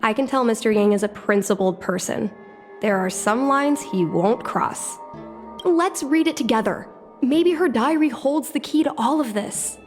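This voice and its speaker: An actress playing a curious, determined young woman, a daughter in a video game dub, with an earnest, measured delivery. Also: a little high, soft background music, close to an everyday voice. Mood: casual, persuading.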